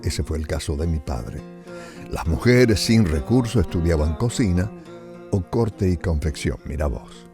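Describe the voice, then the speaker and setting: A man's voice: low-pitched, expressive, measured pace, music in the background. A man in his seventies with a northern Argentine accent, narrating family memories as a storyteller with conversational asides. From Salta, northern Argentina. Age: 70s. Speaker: author reading his own stories.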